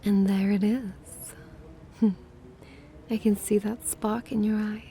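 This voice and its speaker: A woman's voice: mid-range voice, flat delivery, slow, dark tone, a little hiss or hum. A woman playing a prickly, disdainful vampire who is secretly smitten with a human, huffy and theatrical in an ASMR roleplay. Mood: intrigued.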